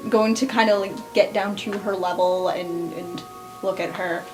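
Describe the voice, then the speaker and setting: A woman's voice: fairly low pitch, fairly even intonation, moderate pace, over music, rough recording. A woman talking casually as a player in a tabletop game. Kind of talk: casual improvised play.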